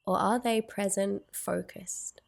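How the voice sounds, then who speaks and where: Slow, creaky woman guiding meditation. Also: mid-range voice, steady tone. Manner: soothing, unhurried, gentle phrasing with long pauses. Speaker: meditation guide. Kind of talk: guided meditation narration.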